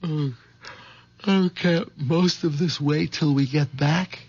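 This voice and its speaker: A dull vintage radio recording of a meek, flustered husband under his wife's thumb. His voice sits a little high and he stammers and whines through his lines, a nervous bungler in a darkly comic play. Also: slow pace, a little mellow, breathy edge, full character voice. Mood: nervous, submissive. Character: meek, henpecked husband, nervous bungling would-be wife killer. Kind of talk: 1970s radio drama acting with narration.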